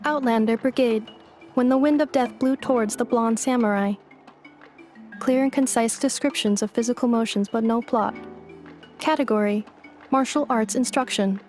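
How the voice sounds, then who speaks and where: A woman's voice: on the high side, moderate pace, a little mellow, close to an everyday voice. A woman voicing a polite, literal young robot maid in a video game, speaking in clipped formal announcements, with music behind her. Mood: analytical. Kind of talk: game voice acting.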